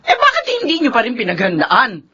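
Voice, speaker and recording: A woman's voice: a little high, huge pitch swings, brisk, bright, forceful tone, husky, heavily acted. A woman playing a firm, aggrieved middle-aged woman in a Filipino radio drama, speaking with dramatic reproach. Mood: firm, reproachful. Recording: dull lo-fi audio with background noise.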